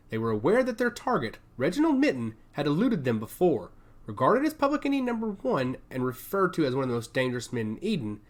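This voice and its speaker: A narrator reading dramatic science fiction in an expressive, scripted storytelling style. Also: medium pitch.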